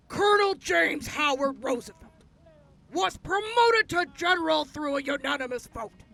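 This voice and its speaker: A woman's voice: sits high, gravelly, pushed and bright, heavily acted. A woman playing a friendly soldier among old friends in a post-apocalyptic audio drama. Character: friendly female soldier briefing old friends after long absence. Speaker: voice actor.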